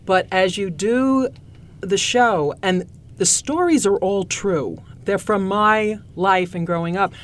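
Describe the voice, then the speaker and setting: A woman's voice: medium pitch, slow pace. An older woman from Long Island, a cabaret singer, talking as a guest in a casual interview and telling stories with enthusiasm. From New York, Long Island. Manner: enthusiastic, storytelling.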